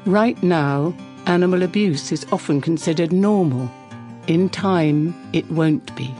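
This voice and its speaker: Ad read, woman, slightly low pitch, upbeat persuasive, music bed. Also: a little slow, warm tone. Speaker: advert voice-over. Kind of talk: scripted radio advert.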